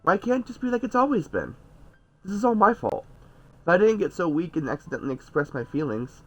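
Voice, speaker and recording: A man with a stuffy nose voicing a cheerful young girl who hides deep sadness, in a shaky falsetto and blaming herself. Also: big pitch swings, a little fast, faint music behind. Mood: despairing. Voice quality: nasal. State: stuffy nose, mild cold.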